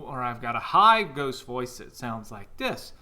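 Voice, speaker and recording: A man's voice: medium pitch, sing-song, unhurried, background noise. A man teaching a cheerful children's music lesson on video, encouraging the kids watching at home.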